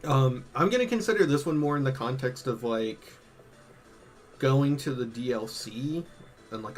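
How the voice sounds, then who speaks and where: A man doing casual, slangy live-stream commentary, thinking out loud, his voice a bit worn from hours of talking. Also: medium pitch. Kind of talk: casual live-stream commentary, ranking game tier lists.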